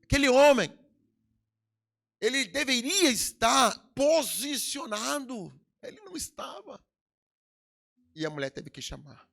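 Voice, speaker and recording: A man's voice: extremely high pitch, sing-song, measured pace, vocal fry, long pauses, fairly bright tone. A middle-aged Brazilian man with a Brazilian Portuguese accent, preaching a sermon in a church with emphatic swells and sudden drops. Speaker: pastor. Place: church hall.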